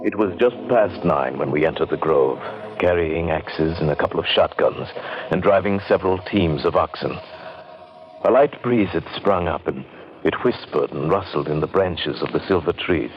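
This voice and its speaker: An actor playing a haunted, earnest man narrating an old-time radio drama with measured, brooding, halting delivery. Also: deep voice, close to an everyday voice. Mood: brooding, entranced. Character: haunted, earnest male narrator resolved to destroy a pagan grove. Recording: dull, band-limited vintage radio recording.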